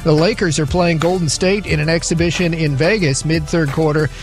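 A man reading the sports update on a talk radio show, rattling off scores and headlines with the odd dry aside. His voice sits a touch higher than average, and a faint music bed runs underneath. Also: steady tone, fairly quick. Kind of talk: sports news roundup on radio.

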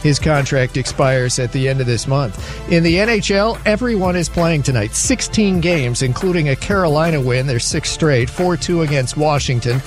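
A man delivering a radio sports news roundup, reading scores briskly and adding wry asides. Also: on the high side, lively intonation, soft background music. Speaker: sports update anchor.

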